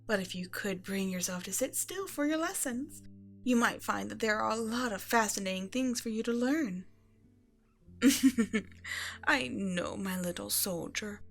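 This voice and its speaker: A woman playing a regal evil empress and doting mother in an ASMR bedtime roleplay, speaking in a measured, tender, condescending way to a child. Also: medium pitch. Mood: tender, gentle. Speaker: audio roleplay performer. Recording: music behind the voice.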